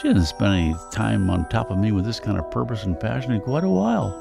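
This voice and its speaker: An actor playing a worn-out, wry older husband and father in an audio comedy, speaking in halting, exclamatory bursts. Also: lowish voice, expressive, vocal fry, over music. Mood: wistful, wry. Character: exasperated, worn-out older husband and father, wryly self-deprecating. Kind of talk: stage-comedy audio drama acting.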